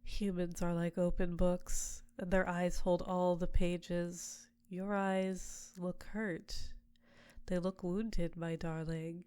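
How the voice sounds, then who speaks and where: Ancient succubus queen played by a woman, sultry, coaxing, soft whispers, lowish voice, very slow audio-drama delivery. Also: flat delivery, clear, resonant tone. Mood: tender. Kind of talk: audio roleplay.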